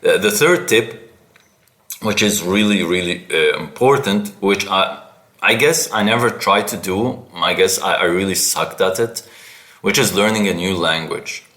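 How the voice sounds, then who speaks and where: A man whose first language is Arabic, hosting a casual solo podcast, haltingly searching for words and repeating them for emphasis. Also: deep voice, fairly bright tone. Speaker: podcast host.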